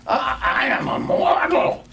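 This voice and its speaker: A man voicing an agitated, sentimental old man in a storybook reading, halting and full of exclamation. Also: sharp tone, slight background noise. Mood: timid, anxious.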